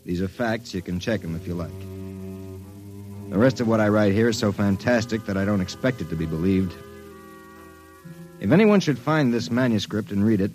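An actor playing a wry, slangy, hard-boiled private detective in an old-time radio drama. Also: male voice, fairly low pitch, animated, normal speed, pauses often, a little mellow, soft background music, natural voice. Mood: grim, wry. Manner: wry, slangy tough-guy delivery. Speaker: narrator and lead actor. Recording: vintage radio drama recording.

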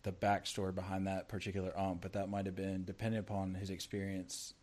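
A man co-hosting a casual sports podcast, teasing his co-host and getting animated. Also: low voice, fairly quick.

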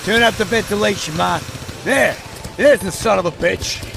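A man's voice: high-pitched, moderate pace, vocal fry, fairly bright tone, a little hiss or hum. An actor playing a brash, showboating male mob boss in an old-time radio play, taunting and barking orders. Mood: furious.

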